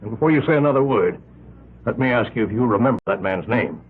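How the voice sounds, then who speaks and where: Muffled vintage radio sound carries an older, wealthy American man heading a vigilante committee. His husky voice swings widely in pitch as he justifies himself in halting, emphatic bursts, full of agitation. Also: lively intonation. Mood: indignant. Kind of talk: old-time radio drama acting. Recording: muffled, narrow-band old radio recording.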